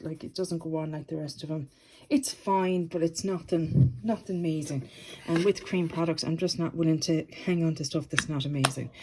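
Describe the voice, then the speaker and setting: Stuffy-nosed woman, chatty vlogger. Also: fairly low pitch. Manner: relaxed and chatty, with frequent ums. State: stuffy with a cold. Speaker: beauty vlogger. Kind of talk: casual chatty product review. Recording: noisy home recording.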